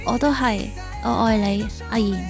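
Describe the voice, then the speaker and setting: A woman's voice: on the high side, normal speed, over music, lightly acted. An actress playing a tender, devoted teenage schoolgirl in an audio drama, with a Hong Kong Cantonese accent. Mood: tender, romantic.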